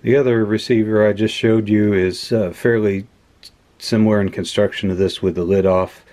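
A man giving a casual, unscripted hands-on demonstration in a matter-of-fact, measured way at an indoor workbench. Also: fairly low pitch, flat delivery, warm tone. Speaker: host of an electronics teardown video.

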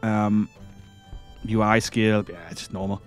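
A man with a cold hosting a strategy game let's-play, his voice croaky as he rambles and corrects himself while clicking through menus. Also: on the low side, level tone, unhurried, faint music behind. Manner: rambling, hesitant, self-correcting. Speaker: gaming channel host. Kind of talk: casual let's-play commentary.

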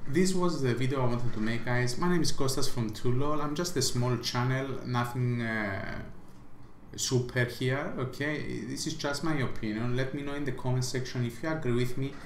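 Non-native english guy ranting about a game, keeps repeating himself, echoey room, bit of hiss. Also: medium pitch. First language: not English. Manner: emphatic, repeats himself, halting restarts. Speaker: small channel gaming vlogger. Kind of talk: opinion rant to camera.

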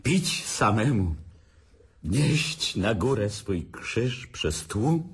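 A man's voice: fairly low pitch, very animated, very slow, breathy edge, exaggerated voice. An actor playing a boastful, self-pitying businessman who drinks and mocks everyone at a family dinner in a radio drama. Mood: bitter. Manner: sarcastic, theatrical, drinking.

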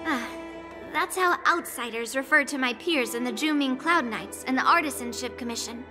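Woman as a high-pitched brash young swordswoman. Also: moderate pace, over music, lightly acted. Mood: curious, casual. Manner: cocky, casual, blunt. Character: brash, hot-tempered young swordswoman girl. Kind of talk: video game voice acting.

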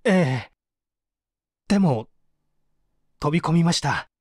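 A man's voice: on the high side, expressive, takes it slowly, breathy edge, no room sound, clean studio sound, exaggerated voice. A man playing an evasive, subdued painter who is under interrogation, hesitant and confessional, in a dramatised mystery reading. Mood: subdued, resigned.

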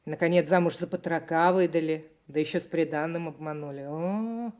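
A woman's voice: sits low, normal speed, darkish tone, natural voice. An actress playing a chatty older village woman in a radio drama, folksy and emphatic, by turns scolding and motherly. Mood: knowing, confiding.

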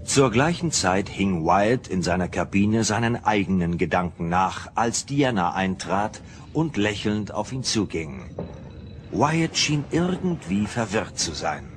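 A man's voice: lowish voice, expressive, a little slow, a little hiss or hum. A man narrating a scripted sci-fi audio drama as storyteller.

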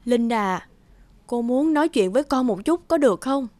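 Woman acting a gentle, high-voiced cartoon stepmother. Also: animated, normal speed, no room sound, close to an everyday voice. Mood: gentle, pleading.

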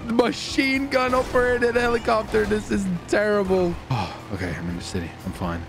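A man hosting a gaming video, giving excitable roleplay gameplay commentary, talking to himself, swearing and breaking into shouts. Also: high-pitched, normal speed, over music. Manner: excitable, swearing, shouting outbursts, self-talk.

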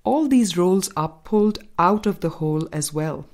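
A woman narrating an audiobook, reading from a script in a measured manner. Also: on the low side, expressive, a little mellow.

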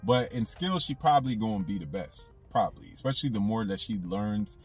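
A man's voice: lowish voice, animated, brisk, husky, distant mic, faint music behind. A young man talking casually to camera from inside a car, rambling with lots of fillers. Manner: loose, rambling, with many fillers. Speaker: YouTube commentator. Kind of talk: casual commentary vlog.

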